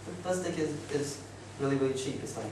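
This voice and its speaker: Echoey, distant young Taiwanese man, chatty. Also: medium pitch. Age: young adult. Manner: chatty, halting non-native English with repeated phrases. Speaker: student speaker. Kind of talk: informal presentation to students. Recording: very echoey noisy room, distant mic.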